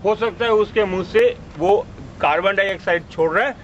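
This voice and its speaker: A man's voice: high voice, fairly quick, muffled recording, a little hiss or hum. A man giving a hands-on first aid demonstration, explaining step by step and checking that listeners follow. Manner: instructive, step by step, checks understanding.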